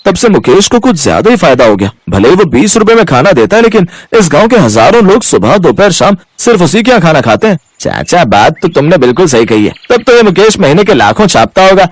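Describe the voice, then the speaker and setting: Grumbling village farmer played by a man, animated. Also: a little high, a little fast, few pauses, no room sound. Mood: suspicious. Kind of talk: storybook character dialogue.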